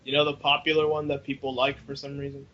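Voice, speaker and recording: A man's voice: medium pitch, monotone, fast talker, pushed and bright. A man bantering casually on a podcast in a goofy, sarcastic way, over a dull lo-fi voice chat. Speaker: podcast host or co-host.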